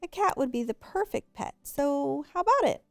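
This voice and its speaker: Woman playing an eager, high-pitched little girl. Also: animated, moderate pace, no room sound, soft background music. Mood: coaxing. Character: eager, pleading little girl begging for a pet.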